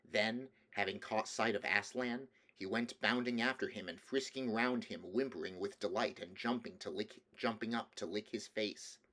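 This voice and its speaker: A man with a fairly low voice reads a storybook aloud. It is scripted narration, delivered as an audiobook reader would. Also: a bit bright.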